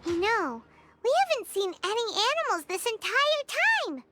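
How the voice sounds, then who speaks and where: A tiny floating sprite of a girl chatters along in a high, squeaky childlike voice. She pipes up at the top of her range, wide-eyed and exclaiming, the chatty sidekick of a video game cutscene. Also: big pitch swings, moderate pace, pushed and bright, slight background noise, full character voice. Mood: curious.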